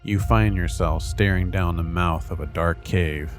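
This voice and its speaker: A man's voice: low voice, steady tone, a little slow, soft background music, voice pushed down. A man playing a solemn fantasy game narrator, building an ominous, dramatic scene.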